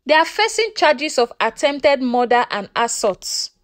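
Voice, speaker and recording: A Nigerian-accented woman voicing a calm, stern male police inspector in a folktale, measured and official. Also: high-pitched. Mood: stern, grave.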